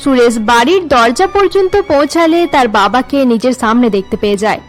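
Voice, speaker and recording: A woman's voice: fairly high pitch, expressive, moderate pace, soft background music. A woman narrator reading a scripted story for a cartoon.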